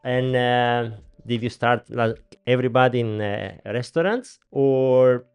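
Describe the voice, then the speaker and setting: A man's voice: medium pitch, fairly even intonation, measured pace, smooth, no room sound. Greek-accented man hosting a podcast. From Greece. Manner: chatty, laughs often, non-native grammar. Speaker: podcast host. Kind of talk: casual interview chat. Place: small podcast studio with paneled walls. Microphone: studio mic on boom arm, headphones.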